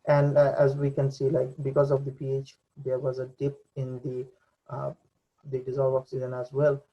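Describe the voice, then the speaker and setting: A young man giving an unscripted voice-over for a software demonstration, with hesitant, halting delivery. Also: mid-range voice, monotone. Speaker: presenter demonstrating a VR training simulation. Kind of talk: unscripted screen demo voice-over. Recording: dull lo-fi computer mic recording.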